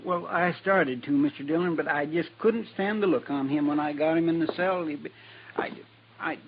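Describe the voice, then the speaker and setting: An actor playing a deferential, soft-hearted frontier deputy in a 1950s radio Western, with drawling rural speech. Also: male voice, a little high, normal speed, natural voice. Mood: sheepish. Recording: muffled, noisy 1950s radio recording.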